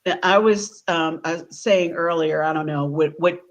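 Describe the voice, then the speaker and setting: A woman hosting a casual livestream interview, chatty and quick to laugh. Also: sits low, fairly even intonation, dull audio. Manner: chatty, laughs often, restarts a lot. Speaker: host.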